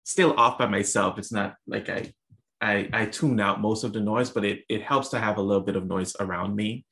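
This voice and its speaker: Low gravelly-voiced man, thoughtful. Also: fairly even intonation, normal speed, a bit bright. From US Virgin Islands. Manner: thoughtful, many fillers and restarts. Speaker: author guest. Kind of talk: casual interview answers.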